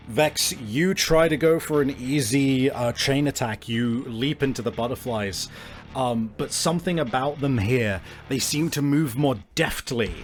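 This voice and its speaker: A man acting as game master, improvising narration and rulings for a tabletop game in deliberate, hesitant phrases. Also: mid-range voice. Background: faint music.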